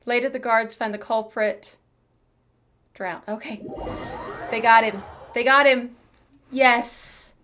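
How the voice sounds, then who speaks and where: Girl streamer reacting to a game story, kinda high voice, takes her time. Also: fairly even intonation, slow pace, pauses often, a bit bright, a little hiss or hum. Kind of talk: casual playthrough commentary and reading along.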